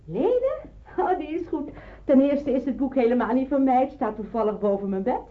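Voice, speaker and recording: A woman's voice: high voice, brisk. A woman acting a sceptical, incredulous wartime woman in hiding in a Dutch radio drama. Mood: incredulous, teasing. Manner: incredulous, hesitant, expressive. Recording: muffled, band-limited old radio recording.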